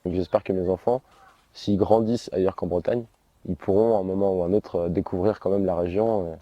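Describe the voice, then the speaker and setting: A middle-aged man being interviewed, talking in a relaxed, chatty way. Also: sits low, fairly quick, no echo, dull audio. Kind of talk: casual interview talk.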